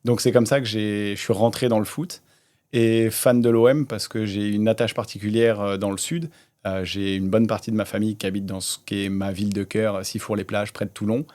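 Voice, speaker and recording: A man in his thirties, a startup founder, telling his story in a relaxed way with many hesitations in a casual interview. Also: lowish voice, a little fast. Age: 30s.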